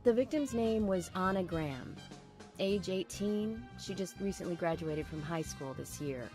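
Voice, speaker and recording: Composed small-town policewoman for a video game, low register, plain-spoken, measured delivery. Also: expressive, over music. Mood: matter-of-fact, measured.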